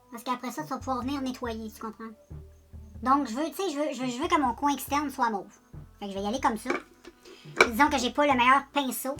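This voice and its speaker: A woman with a Quebec French accent talks through her makeup routine in a loose, rambling way, poking fun at herself as she goes. Her voice sits high and sounds bright and forward. Soft music plays faintly behind her. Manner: chatty, rambling, self-deprecating, talks directly to viewers. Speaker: beauty vlogger. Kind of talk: casual makeup tutorial chat.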